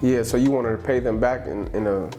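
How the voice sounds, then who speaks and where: A man hosting a casual interview podcast, talking in relaxed slang and often agreeing with the guest. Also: medium pitch, faint music behind. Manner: relaxed, slangy, quick back-channel agreement. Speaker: podcast host.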